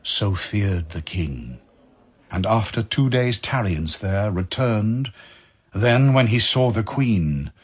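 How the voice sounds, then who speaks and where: A man narrating an old verse tale as scripted drama, solemn and deliberate. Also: on the low side, lively intonation, husky.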